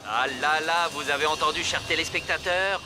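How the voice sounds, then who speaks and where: A man playing an excitable, theatrical TV cooking contest presenter in a cartoon. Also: on the high side, normal speed, lightly acted. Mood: excited, showy. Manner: bombastic, theatrical. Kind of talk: cartoon TV announcer.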